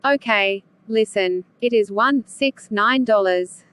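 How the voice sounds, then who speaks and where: A woman's voice: mid-range voice, slow pace, a bit bright, dry recording. Woman reading a cashier's lines, dry recording. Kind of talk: scripted dialogue example.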